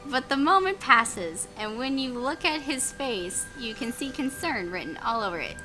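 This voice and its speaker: An excitable, geeky woman playing a story game, reading it out playfully with self-mocking asides. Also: high voice, moderate pace, low-quality recording. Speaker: gaming channel player reading out a story game. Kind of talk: playful Let's Play reading with joking asides. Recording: music playing behind the voice.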